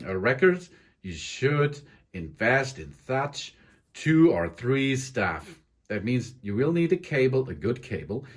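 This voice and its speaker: A man giving a hesitant tech tutorial, pausing often with ums and restarts. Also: medium pitch. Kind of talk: tutorial explanation. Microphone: condenser microphone into audio interface.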